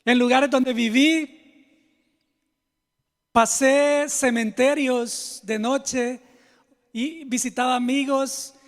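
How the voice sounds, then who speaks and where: A man's voice: very high-pitched, fairly even intonation, slow, pauses often. A man with a Salvadoran Spanish accent, a pastor giving a conversational sermon built on personal stories, speaking informally and confidingly straight to his listeners. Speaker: pastor, preacher. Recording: long hall reverb.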